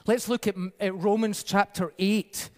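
A male pastor preaches with a high voice, telling stories in a conversational way. His pace speeds up and slows down. The sound rings with long reverb, as in a large church hall. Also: steady tone, darkish tone. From Peru-born, raised in the UK by Scottish parents. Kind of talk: sermon.

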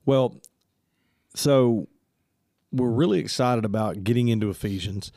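A man's voice: mid-range voice, vocal fry, warm tone, no echo, clean studio sound. A man hosting a church podcast, sounding congested and talking casually with many fillers. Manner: friendly, halting with many fillers. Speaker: podcast host.

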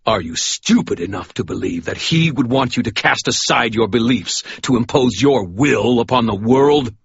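A man voicing a fanatical priest who pleads in a high, strained voice. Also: expressive, moderate pace, gravelly, breathy edge, no echo, highs cut off, exaggerated voice. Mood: accusing. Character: fanatical male priest, cold and desperate.

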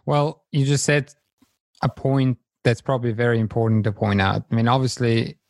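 A man from Switzerland with a Swiss German accent, a non-native English speaker hosting a missionary podcast in a halting, hesitant way. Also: medium pitch, fairly even intonation, vocal fry, dry recording, studio quality. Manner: hesitant, halting phrasing with fillers. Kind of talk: casual podcast conversation.

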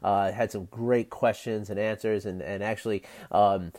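A man hosting his own podcast, talking in a rambling, earnest way with lots of fillers and soft laughs, his voice scratchy and tired. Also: fairly low pitch, steady tone, moderate pace. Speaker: podcast host. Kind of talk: casual solo podcast talk.

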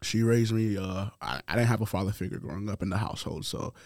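Soft-spoken young man from Haiti. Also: on the low side, fast talker, no echo, clean studio sound. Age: 20s. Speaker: college football player, guest. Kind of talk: casual interview answers.

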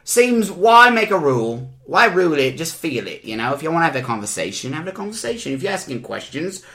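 A man's voice: on the high side, big pitch swings, fairly quick, bright, forceful tone, heavily acted. A man playing a bumbling, pompous talk-show host in an improv comedy bit, rambling and hesitating with lots of ums.

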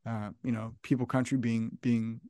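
A man's voice: medium pitch, level tone, normal speed, warm tone, dry recording, clean studio sound. A young man hosting a casual podcast interview, enthusiastic and repeating his phrases as he talks. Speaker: podcast host.

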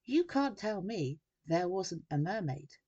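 A woman voicing a pushy, snappy female TV news reporter in a storybook, with a forceful delivery. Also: fairly low pitch, sing-song, unhurried, husky, muffled recording, exaggerated voice. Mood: bright, on air. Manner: forceful, over-bright on-air delivery.

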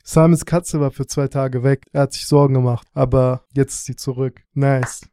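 A man's voice: medium pitch, steady tone, normal speed, mellow, clean tone. A young man co-hosting a podcast live stream, chatting casually, laughing often and reading chat aloud.

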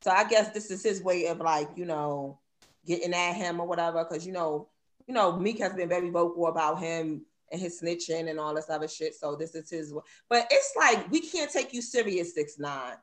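A woman's voice: on the low side, normal speed. A woman from Brooklyn, hosting a casual live online panel chat with blunt, gossipy talk and frequent laughter. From Brownsville, Brooklyn. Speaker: host.

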